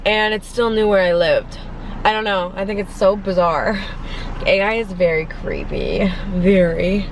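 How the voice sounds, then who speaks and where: A woman's voice: medium pitch, lively intonation, unhurried, a little hiss or hum, rough recording. Chatty young woman vlogging from her car. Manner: chatty, filler-heavy, lots of "like". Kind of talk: casual car mukbang chat. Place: parked car at a drive-through.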